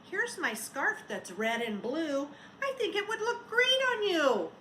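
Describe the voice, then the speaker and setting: Casting: a woman doing excitable, kind children in a storybook, emphatic and animated, heard in an echoey room. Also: very high-pitched, unhurried, pitched above the performer's own voice, full character voice. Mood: kind, pleased. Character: kind, eager, excitable group of young children. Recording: roomy echo, faint background noise.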